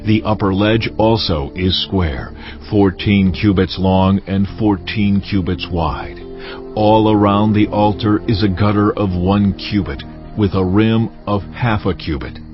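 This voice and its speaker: An actor playing God in a dramatized scripture reading, solemn, deliberate and commanding as he instructs his prophet. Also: on the low side, close to an everyday voice. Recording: dull sound over music bed.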